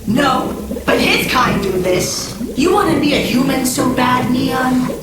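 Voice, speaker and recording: A vengeful female cartoon mutant, sneers and taunts with slow, swooping menace. Her voice rings in heavy echo, set back from the microphone. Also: high voice, lively intonation, heavy background noise. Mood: mocking, bitter. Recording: very echoey, distant, loud music behind.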